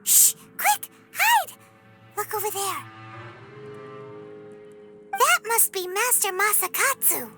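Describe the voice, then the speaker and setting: A woman voicing a small, childlike, excitable fairy companion in an anime game, with a squeaky voice and exclamatory, talkative delivery. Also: takes it slowly, pauses often, exaggerated voice. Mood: nervous. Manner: refers to self in third person, exclamatory. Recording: loud music behind.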